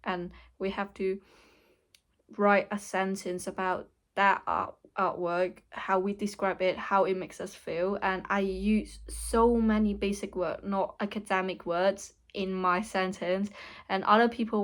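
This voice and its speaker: A young woman from Hong Kong with a Hong Kong English accent, Cantonese her first language, talking candidly and hesitantly in a casual vlog over a weak mic. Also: medium pitch. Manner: candid, hesitant, many restarts. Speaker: vlogger. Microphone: weak mic, words hard to catch.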